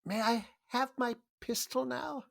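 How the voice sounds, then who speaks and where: An actor playing a prim, over-polite, menacing crook in a 1940s-style radio play, speaking with mannered precision. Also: male voice, very high-pitched, fairly even intonation, a little slow, gravelly, full character voice. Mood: politely menacing.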